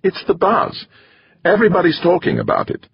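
A man's voice: high-pitched, huge pitch swings, normal speed, creaky, breathy edge, no room sound, highs cut off, voice pushed up, heavily acted. A man voicing a gloomy, whiny middle-aged male biologist with a Hungarian accent.

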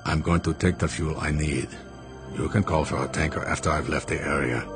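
A man's voice: moderate pace, fairly bright tone, soft background music. A man playing a grim, clipped rebel. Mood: cold. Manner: clipped, low and intense. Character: hard, stubborn space-rebel man.

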